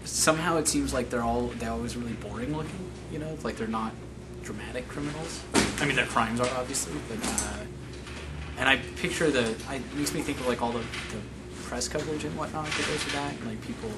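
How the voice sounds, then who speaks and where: A man's voice: mid-range voice, brisk. A twenty-nine-year-old man rambling through his answers in a casual interview, searching for words. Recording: loud background noise.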